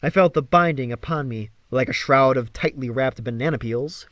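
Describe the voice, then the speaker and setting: A man reading a fantasy novel aloud as an expressive, theatrical audiobook narrator. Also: medium pitch, moderate pace, dry recording, studio quality. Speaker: audiobook reader.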